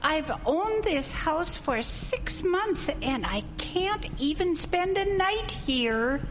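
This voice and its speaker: Woman acting a high-voiced, worried homeowner. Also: big pitch swings, full character voice. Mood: worried, hesitant. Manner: hesitant, slow. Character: worried, weary woman owning a haunted house. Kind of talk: audio drama acting. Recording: clear ambient background noise.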